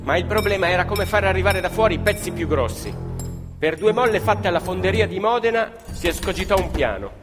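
A man's voice: animated, a little fast. A man telling a story as dramatic narrative theatre, emphatic and repeating phrases for effect. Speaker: stage storyteller and actor. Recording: live music playing behind the voice. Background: live violin, piano and double bass music.

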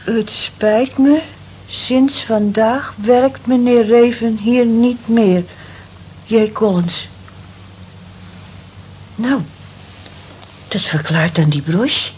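A woman's voice: fairly high pitch, drawn-out words, pauses often, a little mellow. An actress playing a blunt, motherly female workmate in a radio drama, practical and consoling, frank and coaxing in manner. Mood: matter-of-fact. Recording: muffled, noisy old radio play recording.

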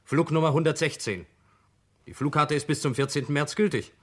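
Self-assured rich heir in a radio crime play, defensive, emphatic, kinda indignant. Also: male voice, on the high side, fairly quick, a bit bright.

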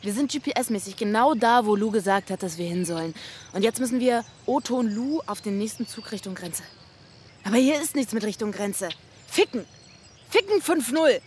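A young actress playing an impatient, foul-mouthed backpacker in a naturalistic radio drama, speaking in a slangy, brusque way. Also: female voice, on the high side, lively intonation, normal speed, darkish tone, a little hiss or hum, lightly acted. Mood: annoyed. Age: young adult.

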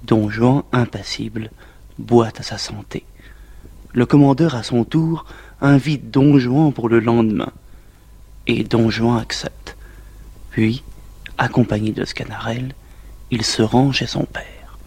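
A man narrating scripted scene links of a classical play in a measured, hushed way with dramatic pauses. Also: medium pitch, steady tone, vocal fry, breathy edge.

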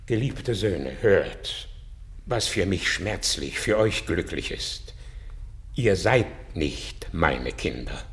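An actor playing a proud, loyal old warrior, declaiming with passion in a classical radio drama. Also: male voice, sits low, lively intonation, takes it slowly, fairly bright tone, heavily acted. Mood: pleading, earnest. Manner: impassioned, declamatory.

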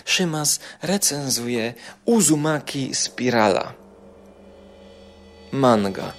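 A man's voice: medium pitch, expressive, drawn-out words, a little hiss or hum. A man with a Polish accent hosting an informal podcast roundup, talking colloquially and repeating phrases for emphasis in a mock-aggrieved way. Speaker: podcast and video show host. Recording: music behind the voice. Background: music.

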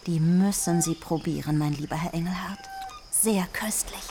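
A woman's voice: fairly low pitch, moderate pace, dark tone, a little hiss or hum, natural voice. A woman playing a gracious, self-assured colonial businesswoman and hostess in a German radio drama, with polite, overly precise diction. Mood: gracious, inviting. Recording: outdoor insect and bird ambience behind.

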